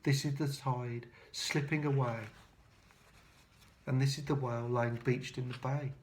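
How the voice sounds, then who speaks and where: A male storyteller with a mid-pitched voice reads a rhyming picture book to children. He leans on the rhymes with deliberate, dramatic emphasis. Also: steady tone, slight background noise. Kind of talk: expressive read-aloud of a rhyming picture book.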